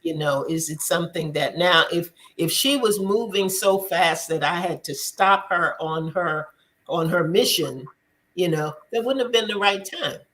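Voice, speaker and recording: A woman's voice: lowish voice, unhurried, fairly bright tone. A woman hosting a faith-based discussion show, speaking earnestly and repeating her points for emphasis. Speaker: talk show host.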